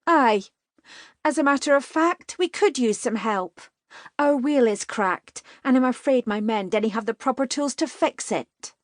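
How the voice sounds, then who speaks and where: A woman voicing a proud young woman traveller, speaking with sharp, indignant retorts. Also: on the high side, animated, measured pace, no echo, clean studio sound, voice pushed up. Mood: dignified.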